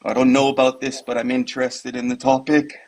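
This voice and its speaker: A middle-aged man from Hawaii speaks in an even, flat tone over a video call. He rambles loosely and leans on lots of "like" and "uh" fillers, sounding casual and unguarded. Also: medium pitch, brisk. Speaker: guest, retired Army medic. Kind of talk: casual conversational interview.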